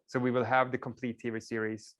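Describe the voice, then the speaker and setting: A man whose first language is Swedish lectures a class in a relaxed, explanatory way. His voice sits at an ordinary middle pitch, and he often drops in casual fillers. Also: a little fast.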